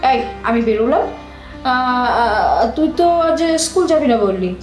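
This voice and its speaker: In an echoey room with music behind, a very high-pitched actress as a younger sister talks in an affectionate, coaxing way. She is playful and scatterbrained, acted expressively as in radio drama. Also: lively intonation, measured pace. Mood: coaxing, concerned. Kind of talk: Bengali audio drama acting.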